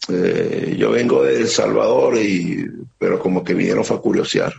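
Gravelly Venezuelan man, halting testimony. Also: deep voice, a little mellow. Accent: Venezuelan Spanish. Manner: halting, repeats words, earnest. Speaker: interviewee, security operator. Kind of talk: emotional testimony on a remote interview. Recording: muffled lo-fi line with faint noise.

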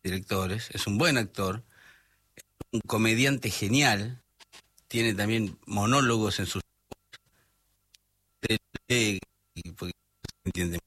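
A man's voice: fairly low pitch, slow, pauses often, fairly bright tone, breathy edge. A man from Buenos Aires with a Rioplatense accent, chatting on the radio about music, often hesitating and trailing off. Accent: Rioplatense Spanish. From Buenos Aires, Argentina. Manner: hesitant, trailing off mid-sentence. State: voice not at its best. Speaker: radio co-host presenting music. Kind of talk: casual radio chat.

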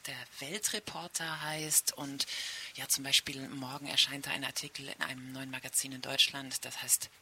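A woman's voice: deep voice, bright, forceful tone. A middle-aged woman from Germany answering interview questions spontaneously as a foreign correspondent in a radio studio. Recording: faint hiss in studio.

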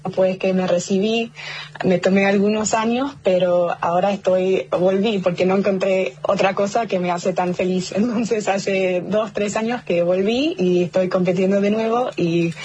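A young woman in her twenties, from Argentina but raised in the United States, speaks Spanish with an American tinge in an upbeat, halting way, often restarting her phrases during a phone interview. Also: mid-range voice, darkish tone, slightly rough. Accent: Argentine Spanish with an American English tinge. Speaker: athlete guest. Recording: dull lo-fi phone line.

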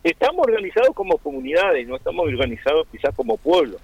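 An Argentine man with a gravelly, creaky voice talks in an earnest, halting way, restarting his phrases and filling pauses. The sound is dry and dull, like a lo-fi radio feed. His pitch sits in a middle range. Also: very animated, dry recording. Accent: Argentine Spanish. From Argentina (Chubut). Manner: hesitant, many fillers and restarts, earnest. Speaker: interviewee, Mapuche-Tehuelche community member. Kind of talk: radio interview, reflective explanation.

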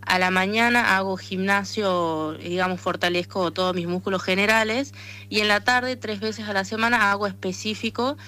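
Bright-voiced northern Argentine woman athlete. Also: medium pitch, steady tone, normal speed. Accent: northern Argentine, Tucumán. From Tucumán, northern Argentina. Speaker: interviewed athlete, arm wrestler and association president. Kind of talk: conversational radio interview answers.

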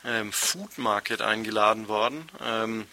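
Ein Mann aus Nürnberg erzählt als Studiogast frei und stockend, mit vielen gefüllten Pausen. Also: male voice, on the low side, bright, forceful tone. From Nuremberg, Germany. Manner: hesitant, halting, frequent filled pauses. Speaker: interview guest, restaurant co-founder. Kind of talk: spontaneous interview storytelling. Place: radio studio.

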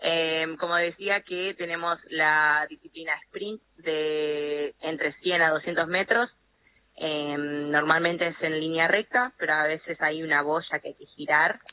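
Slow hesitant woman on a phone line. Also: low-pitched, steady tone. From United States. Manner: halting, hesitant with many fillers. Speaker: athlete guest. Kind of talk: phone interview answers. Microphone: phone call over messaging app. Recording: tinny phone line, faint noise.

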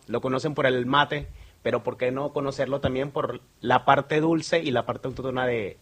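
A man with a Venezuelan accent answers interview questions in an earnest, promotional way. His voice is husky and a little breathy, and he speaks at a middle pitch with frequent fillers. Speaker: guest, sales manager. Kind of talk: radio interview.

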